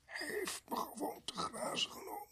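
An actor in a radio play playing a gravely wounded, bitter criminal who gasps weakly in halting, broken phrases. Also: male voice, bright, forceful tone. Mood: anguished, protesting. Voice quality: breathy, husky whisper. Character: dying, bitter male criminal protesting his innocence of murder.

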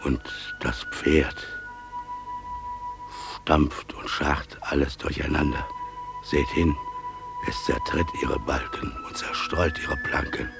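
Solemn pious father for radio drama: extremely low, gravelly, very slow, reverent declamation. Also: steady tone, over music, exaggerated voice. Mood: solemn, intense. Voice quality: very deep, gravelly. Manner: slow, solemn, rhetorical pauses, drops to whispers. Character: solemn pious father, animal keeper, preaching reverently on nature.

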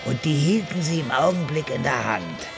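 A man's voice: fairly high pitch, sing-song, moderate pace, vocal fry, music in the background. An actor narrating a hard-boiled German radio crime drama as its male storyteller, in a scripted style. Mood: measured storytelling.

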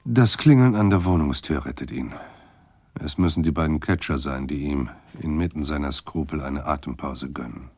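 Detective drama narrator, bass-deep voice, sardonic, ironic, scripted storytelling, dry close studio sound. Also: male voice, normal speed, darkish tone, slightly rough, dry recording, exaggerated voice.

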